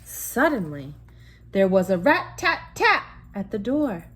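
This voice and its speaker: A woman's voice: mid-range voice, very animated, slow, a bit bright, breathy edge. A woman in her thirties reading a children's picture book aloud at home, with dramatic emphasis on the sound words. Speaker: mother reading a bedtime story. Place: room at home, indoors. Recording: noisy room, home recording.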